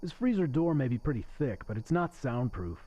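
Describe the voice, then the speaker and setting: Radio drama: male actor as crude, bitter restaurant worker, needling and lonely underneath, swinging pitch, dull recording. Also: sing-song, brisk, warm tone. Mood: sarcastic. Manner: sarcastic, needling. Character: crude, bitter restaurant server facing execution, secretly lonely. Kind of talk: radio play acting.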